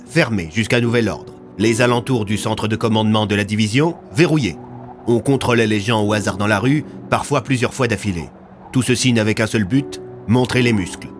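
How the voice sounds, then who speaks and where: Male narrator for serialized audio fiction, lowish voice, scripted storytelling over soft music.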